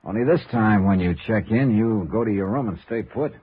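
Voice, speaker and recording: An actor playing a tough, wry insurance investigator in 1950s radio noir, clipped and dry. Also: male voice, fairly low pitch, a little fast, slightly rough, no echo. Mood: cool, guarded. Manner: clipped, hard-boiled, dry. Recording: dull vintage radio recording, faint music.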